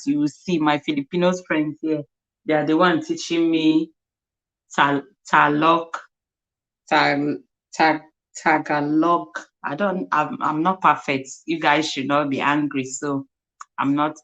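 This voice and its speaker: A woman's voice: low voice, steady tone, unhurried, a bit bright, dull audio. A young woman from Nigeria with a Nigerian accent and Igbo as her first language, hosting a casual livestream chat, laughing often and repeating words as she sounds them out. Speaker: livestream host.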